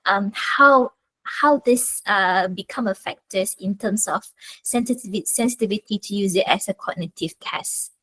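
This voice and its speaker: Polite, halting woman moderator. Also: medium pitch, fairly bright tone, slightly rough, no echo, rough recording. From Malaysia. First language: not English. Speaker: webinar moderator. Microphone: video call.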